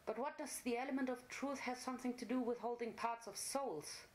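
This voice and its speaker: A woman's voice: fairly high pitch, a little fast, a little mellow, pitched above the performer's own voice, close to an everyday voice. A woman voicing an earnest, curious young unicorn filly, an eager daydreamer in a fantasy story reading. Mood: subdued, questioning.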